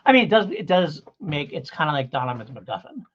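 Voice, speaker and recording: Male podcast co-host, very lively intonation, slightly husky, rambling and keen, on a somewhat dull lo-fi recording. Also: a little high, fast. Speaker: podcast co-host reviewing audio dramas. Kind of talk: casual review chat.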